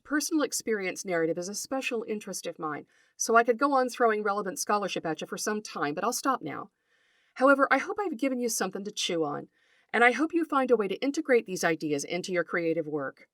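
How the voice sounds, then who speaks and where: A woman reads scripted educational material as a podcast host. Her delivery is articulate and deliberate, like a prepared lecture, with a medium pitch that moves expressively. The sound is dry, with no audible room. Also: no room sound. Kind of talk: scripted educational narration read aloud.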